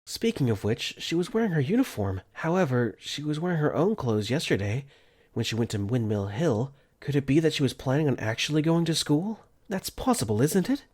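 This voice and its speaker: A young man voicing an earnest, caring dorm caretaker for a fan dub, gently narrating his own thoughts in first person. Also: a little high, big pitch swings, fairly quick. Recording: faint music under the voice.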